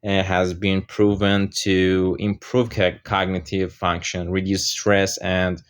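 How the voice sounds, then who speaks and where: Low-voiced man explaining software, Persian native. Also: slow pace, clear, resonant tone. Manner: steady explaining with frequent "uh" fillers. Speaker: software tutorial presenter. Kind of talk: screen-recorded software walkthrough.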